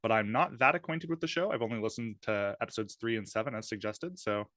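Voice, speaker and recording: A man's voice: lowish voice, fast talker, a bit bright, no room sound, clean studio sound. A man, a podcaster and player, introducing himself casually on an online group call.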